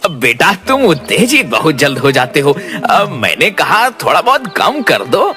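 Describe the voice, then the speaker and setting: An actor playing a greedy, gullible older grain merchant in a cartoon story, haggling with drawn-out, blustering exclamations. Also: male voice, on the high side, huge pitch swings, pushed and bright, soft background music, pitched below the performer's own voice, heavily acted. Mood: placating. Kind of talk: Hindi cartoon story dialogue.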